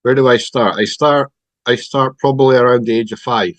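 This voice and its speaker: A middle-aged Scottish man telling his personal story on a podcast over a remote call, in a reflective, halting way with many pauses and ums. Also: mid-range voice, steady tone, a bit bright, no echo. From Scotland. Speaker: podcast guest.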